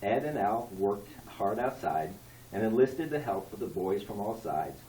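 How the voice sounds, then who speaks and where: A man reading a rhyming poem aloud at a party like an expressive amateur storyteller. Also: on the low side, unhurried. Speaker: family member reading a tribute poem. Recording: echoey room, distant mic, background noise.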